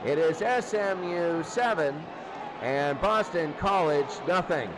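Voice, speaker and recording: A man calling football play-by-play, getting excitable when the action picks up. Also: fairly high pitch, takes it slowly. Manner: excitable on big plays. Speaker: play-by-play sports commentator. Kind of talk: American football game commentary. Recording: clear background noise.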